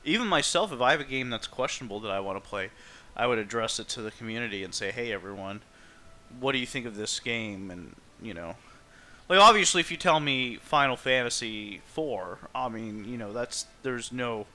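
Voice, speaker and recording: Male game streamer, bright forceful voice, mid pitch, informal rambling chat. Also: animated, a little fast. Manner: informal, rambling, thinking aloud. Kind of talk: casual livestream chat.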